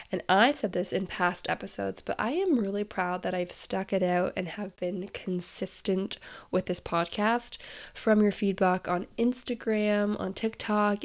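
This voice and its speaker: A young woman hosting a casual podcast, chatty and upbeat with frequent laughs, sounding stuffed up and nasal from a head cold. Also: medium pitch. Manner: chatty, upbeat, self-deprecating, laughs often. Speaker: podcast host.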